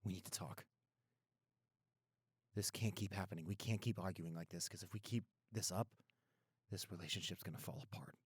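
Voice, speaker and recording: A man playing a caring, apologetic young boyfriend in an intimate roleplay audio, speaking earnestly and gently. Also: low voice, moderate pace, long pauses, breathy edge. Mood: troubled, brooding. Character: apologetic, caring young boyfriend soothing his upset girlfriend.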